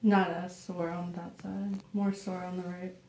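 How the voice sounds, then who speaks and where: A woman's voice: fairly low pitch, level tone, measured pace, a little mellow. A young adult woman giving brief, conversational answers as a patient in a consultation. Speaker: patient, travel nurse. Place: small clinic room with bare walls. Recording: echoey room, away from mic.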